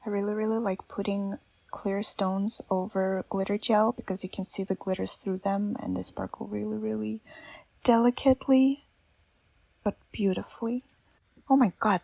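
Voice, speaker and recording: A woman with a Russian accent, a native Russian speaker, narrating a nail art ASMR voiceover in a soft, self-deprecating, chatty way. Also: mid-range voice, vocal fry, no echo, low-quality recording.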